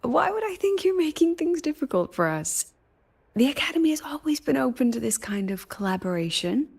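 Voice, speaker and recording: A woman's voice: high voice, sing-song, fairly quick, creaky, darkish tone, exaggerated voice. A woman playing a weary, wry academy president in a video game, polite and diplomatic with a dry edge. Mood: polite, businesslike. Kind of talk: video game character dialogue. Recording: background music under the voice.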